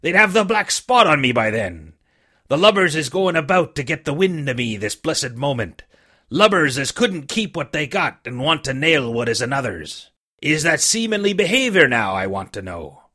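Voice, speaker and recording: A man voicing a sick old sea captain who pleads and blusters in rough sailor's talk with a weak, feeble voice. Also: fairly high pitch, big pitch swings, moderate pace, bright, forceful tone, exaggerated voice. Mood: defiant.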